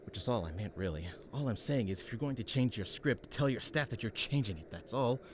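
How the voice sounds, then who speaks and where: A man's voice: mid-range voice, lively intonation, fairly quick, heavy background noise, close to an everyday voice. A man playing a disgruntled, theatrical radio announcer in a parody of old serials, switching between grandiose and petulant. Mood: grumbling.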